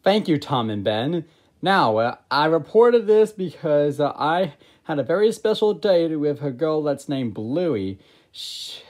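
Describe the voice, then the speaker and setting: A woman's voice: fairly low pitch, a little mellow. A girl voicing a lovesick boy plush character, rambling with many fillers. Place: home bedroom.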